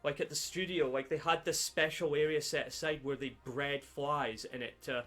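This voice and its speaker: A man co-hosting a film podcast in casual chat, halting and rambling, with casual swearing. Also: fairly high pitch, steady tone, a bit bright. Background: background music.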